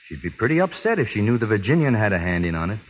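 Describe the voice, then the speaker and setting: An actor playing a folksy, well-meaning, cagey cowhand with an American accent in 1930s radio drama style. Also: male voice, lowish voice, sing-song, very fast. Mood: evasive, hushed. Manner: folksy, ungrammatical, hesitant. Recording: muffled, hissy 1930s radio recording.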